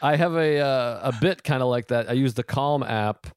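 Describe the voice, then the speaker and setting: A man's voice: mid-range voice, moderate pace, clean tone, no echo, studio quality. A man hosting a podcast interview over a call, joking, swearing and fretting about the audio. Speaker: podcast host.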